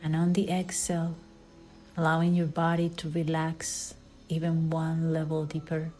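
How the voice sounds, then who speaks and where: A woman leading a guided meditation in a soft, soothing way, with phrase endings that fall into breathy near-whispers. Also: low-pitched, monotone, moderate pace. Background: background music.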